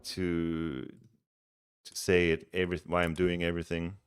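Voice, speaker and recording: A man's voice: low voice, moderate pace, faint music behind. A man from Denmark with a Danish accent, chatting casually with viewers on a live stream and coaching a game player. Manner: relaxed, chatty, restarts words often.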